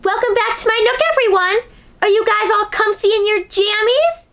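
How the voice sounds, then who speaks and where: A woman's voice: extremely high pitch, moderate pace. A woman hosting a children's read-aloud, narrating a storybook expressively and speaking directly to young listeners. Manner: animated, addressing young children.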